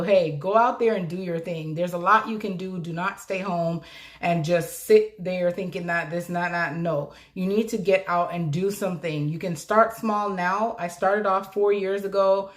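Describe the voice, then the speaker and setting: A woman from South Florida, a notary business mentor, chatting live with viewers in an upbeat way and laughing often. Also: on the low side. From South Florida, USA. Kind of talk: live-stream chat answering viewers.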